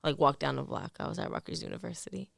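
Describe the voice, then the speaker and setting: A woman's voice: sits low, big pitch swings, quick pace, gravelly, no room sound, clean studio sound. A woman in her thirties from New Jersey with a Jersey accent. She is a therapist who is a guest on a casual podcast, talking loosely with many fillers. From New York City and central New Jersey.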